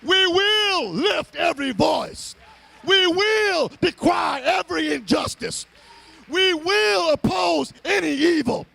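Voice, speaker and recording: A man's voice: very high voice, expressive, slow pace, vocal fry, bright, forceful tone, shouting. A man preaching in an impassioned, dramatic oration at a live gathering, with emphatic, rhythmic repetition. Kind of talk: impassioned sermon-style oration at a live event. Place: live public gathering, speaking to an audience.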